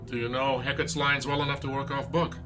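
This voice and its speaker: An actor playing an authoritative, formal theatre director in an audio drama. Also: male voice, medium pitch, level tone, fairly quick, over music, natural voice. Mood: commanding. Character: authoritative male theatre director running a rehearsal.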